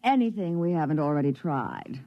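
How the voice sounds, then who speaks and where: Sultry, mocking thrill-seeker, swooping voice. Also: female voice, low voice, animated, fairly quick. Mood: bored, provocative. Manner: languid, mocking, affected. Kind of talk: 1950s radio drama acting. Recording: dull vintage radio recording.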